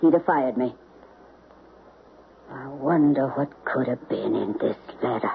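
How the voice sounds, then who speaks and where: Flustered, timid housekeeper, tinny radio. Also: female voice, extremely low pitch, unhurried, gravelly, a crowd in the background, exaggerated voice. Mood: anxious confession. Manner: hesitant, flustered, evasive. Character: timid, evasive female housekeeper afraid of her boss. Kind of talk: 1970s radio drama acting. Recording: tinny, slightly noisy old radio broadcast.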